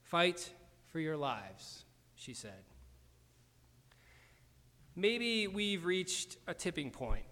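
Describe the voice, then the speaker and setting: Measured male preacher in a reverberant sanctuary. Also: a little high, very animated, bright, forceful tone. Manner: measured, slowing for emphasis. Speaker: pastor. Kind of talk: sermon.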